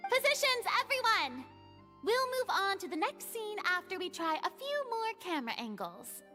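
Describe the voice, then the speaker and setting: Casting: flamboyant young film director for a game, very high lively voice, theatrical orders. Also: female voice, moderate pace, heavily acted. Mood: commanding. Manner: theatrical, commanding. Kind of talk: video game voice acting. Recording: long reverb, faint music behind.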